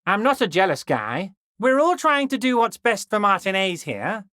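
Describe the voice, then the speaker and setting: Oily union boss, swooping intonation. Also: male voice, sits high, big pitch swings, moderate pace, pushed and bright, no room sound, studio quality, heavily acted. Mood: falsely magnanimous. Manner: ingratiating, oily, insinuating, repeatedly addressing listener as a pal. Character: smug, falsely friendly, overweight corrupt union boss, adult man. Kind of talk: video game character dialogue.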